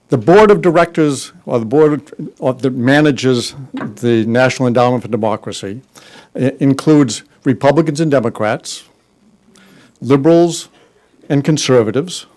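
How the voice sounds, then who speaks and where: A man's voice: mid-range voice, a little mellow. An older man with a broad Boston accent talks from a podium microphone in a big conference hall. He keeps it loose and joking, stumbling into restarts and fillers as he introduces the next speakers. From Boston area, US. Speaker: board member introducing speakers. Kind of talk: informal podium speech. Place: large conference hall, indoors.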